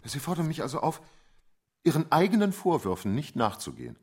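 An actor in a radio drama playing a dutiful, indignant institute director with stiff, official phrasing. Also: male voice, a little high, wildly swooping pitch, fairly quick, a little mellow, breathy edge, exaggerated voice. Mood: formal, indignant.

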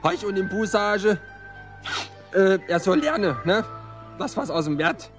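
A man's voice: high voice, expressive, a little slow, a bit bright, soft background music. A man, playing a hesitant, glum man in a radio play. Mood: earnest. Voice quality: hesitant, mumbling. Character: gloomy middle-aged man named Ernst, sullen. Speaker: radio drama character.

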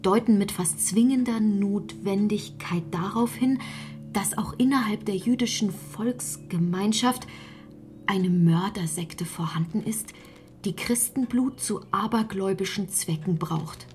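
A woman playing a solemn reader who recites a formal text in a deliberate, declamatory way. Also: medium pitch, darkish tone, faint music behind, close to an everyday voice. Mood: solemn, insistent. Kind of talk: formal declamatory reading in radio drama.

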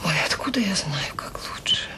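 An actress playing a weary middle-aged children's home worker in a radio drama, plain-spoken, wry and self-deprecating. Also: female voice, on the low side, lively intonation, normal speed, whispered, close to an everyday voice. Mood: quiet, troubled. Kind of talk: radio drama acting, intimate conversation. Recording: hissy old audio play recording.

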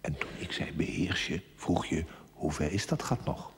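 An actor playing a stern, reproving inner voice in a television drama, speaking in curt, whispery rebukes. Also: male voice, low voice, lively intonation, moderate pace. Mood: accusing. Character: stern inner voice scolding a young priest. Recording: reverberant hall with faint noise.

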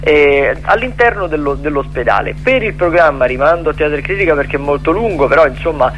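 A man chatting about cultural listings on the radio, with hesitant, drawn-out phrasing. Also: a little high, animated, fairly bright tone, muffled recording, soft background music.

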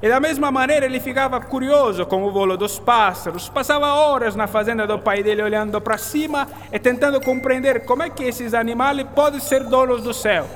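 Eccentric Italian inventor, very high-pitched, theatrical accent, fond and boastful, radio play, reverberant. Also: male voice, fairly quick, hardly any pauses, fairly bright tone. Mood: animated storytelling. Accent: put-on Italian. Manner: theatrical storytelling peppered with Italian words. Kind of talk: radio drama acting.

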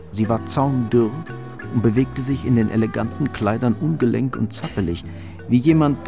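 A man's voice: on the low side, gravelly. A man narrating a scripted crime story in a dry, descriptive manner.

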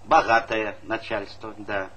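Middle-aged farm chairman, fatherly but pushy, ringing forceful tone, Soviet comedy stage, slight tape noise. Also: on the low side, flat delivery, measured pace. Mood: wheedling, insistent. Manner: insistent, haggling, hesitating mid-phrase. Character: bustling, wheedling male collective farm chairman, hearty and fatherly. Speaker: actor. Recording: noisy old 1970s theatre recording.

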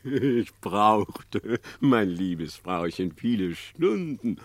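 An actor playing a sly, scheming male fox in a radio play, smooth and mocking with chuckles. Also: lowish voice, sing-song, drawn-out words, no echo, full character voice. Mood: boastful. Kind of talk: classic radio play acting.

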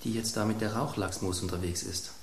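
Pompous, lowish man as a sensual fine wine. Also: fairly even intonation, fairly quick, reverberant, lightly acted. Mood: savouring. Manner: pompous, savouring. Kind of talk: German radio play acting.

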